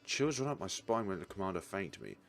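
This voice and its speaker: A man reading a visual novel aloud as a let's player, with deliberate, theatrical narration. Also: deep voice, big pitch swings, creaky, slight background noise.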